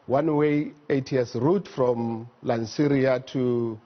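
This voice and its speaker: A man with a South African accent explaining things methodically and haltingly as an expert guest in a studio interview. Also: mid-range voice, steady tone, muffled recording. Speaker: air traffic management executive, interview guest. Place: TV news studio.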